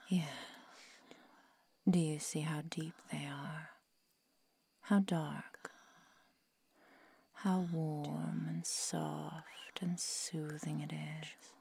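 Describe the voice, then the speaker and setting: A woman playing a protective, coolly menacing vampire seductress in a hushed, deliberate, coaxing ASMR roleplay whisper. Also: low voice, creaky, husky, exaggerated voice. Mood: hypnotic, soothing.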